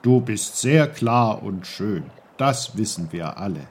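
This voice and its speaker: A man voicing a gruff, grumbling old uncle with a short temper, in a storybook reading. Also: on the low side, lively intonation, slow, voice pushed down, exaggerated voice. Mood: explaining.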